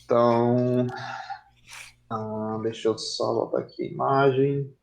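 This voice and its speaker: A man's voice: medium pitch, steady tone, slow pace, vocal fry, roomy sound, slight background noise. A man with a Brazilian accent casually hosting and moderating an online event over a video call.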